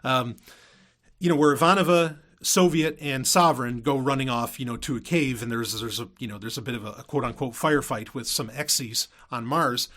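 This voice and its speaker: A man hosting a podcast as an audio drama creator, talking casually about behind-the-scenes work in a halting, rambling way, repeating words for emphasis. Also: mid-range voice, fairly bright tone.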